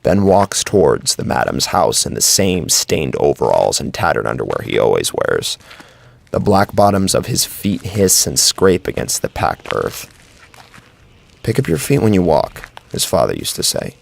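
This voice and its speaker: A man's voice: low-pitched, huge pitch swings, normal speed, vocal fry. A man narrating a scripted horror story as a brooding, dramatic storyteller. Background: faint music.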